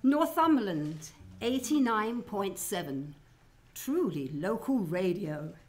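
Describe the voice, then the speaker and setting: A woman's voice: mid-range voice, big pitch swings, slow, faint music behind. A woman announcing and narrating in a theatrical, formal old-time radio show style.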